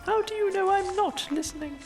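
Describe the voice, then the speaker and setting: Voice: very high-pitched, brisk, music in the background, pitched above the performer's own voice. A storybook narrator voicing a small, timid young piglet with an anxious, earnest manner. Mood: inquisitive.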